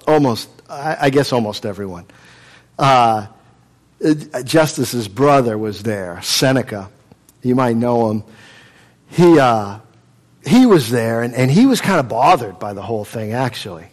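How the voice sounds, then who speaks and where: A man performing a first-person monologue as an earnest, humble man recalling how his life changed, speaking softly and haltingly. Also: medium pitch, natural voice. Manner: earnest, soft-spoken, halting storytelling.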